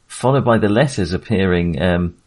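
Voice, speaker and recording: A man co-hosting a casual film discussion, speaking in a halting way as he thinks aloud. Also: deep voice, darkish tone, a little hiss or hum. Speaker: podcast co-host.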